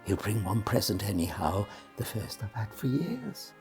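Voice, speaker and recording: A man's voice: lowish voice, huge pitch swings, moderate pace, a little mellow, faint music behind. A man voicing a lonely, childlike storybook king who pleads earnestly for presents in sing-song verse. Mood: anxious bargaining.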